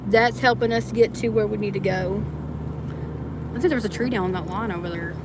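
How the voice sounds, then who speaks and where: A woman's voice: mid-range voice, a little fast. A woman with a Kentucky-tinged Southern Indiana accent, co-hosting a paranormal livestream and chatting casually with viewers from a car. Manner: chatty, teasing, addresses viewers. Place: inside a car on country roads. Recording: road and engine noise.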